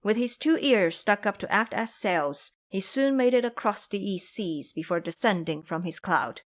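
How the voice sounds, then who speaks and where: Animated woman storyteller, swooping pitch. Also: moderate pace. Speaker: narrator. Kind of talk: scripted storytelling narration for an audio drama.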